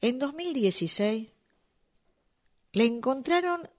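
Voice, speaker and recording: A woman playing a patient but firm official in a radio drama, questioning an offender in a measured, formal, reasoning way. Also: high voice, expressive. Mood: firm, reproving. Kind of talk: radio drama workshop acting.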